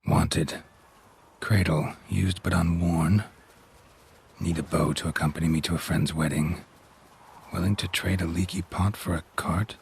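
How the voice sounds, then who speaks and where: Low, raspy monster hunter. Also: male voice, measured pace, exaggerated voice. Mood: dry, unimpressed. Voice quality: raspy, gravelly. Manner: terse, deadpan. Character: gruff, weary, dry-witted male monster hunter for hire.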